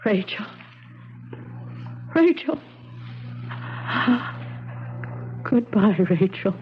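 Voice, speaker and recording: A woman playing a treacherous, guilt-ridden poisoner in a 1970s radio drama, intense and halting, on a muffled, noisy broadcast recording. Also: medium pitch, expressive. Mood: guilty, anguished.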